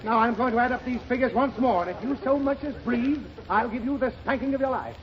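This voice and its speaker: A man's voice: very high voice, fast, a crowd in the background. A man playing a flustered, exasperated father trying to do his taxes, in 1930s radio comedy. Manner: flustered, theatrically exasperated, sputtering. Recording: dull 1930s radio broadcast with hiss and studio audience.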